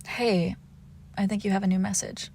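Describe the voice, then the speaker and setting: Woman acting a teasing, haughty young vampire, slightly creaky. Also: mid-range voice, little pitch movement, normal speed, mellow, slight background noise, close to an everyday voice. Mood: playful, smug. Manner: teasing, coy, entitled. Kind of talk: intimate roleplay audio acting.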